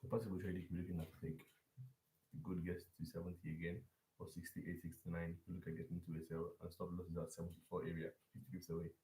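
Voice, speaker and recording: A man's voice: sits low, level tone, quick pace, warm tone, slight background noise. A man live-streaming a forex chart breakdown and talking loosely to his chat, with restarts and repeats. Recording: echoey room.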